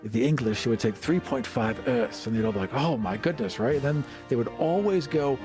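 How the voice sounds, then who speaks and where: A man's voice: mid-range voice, lively intonation, brisk, music in the background. A man from the United States chatting casually as a podcast co-host, arguing his points with emphasis. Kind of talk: casual chat about tabletop gaming.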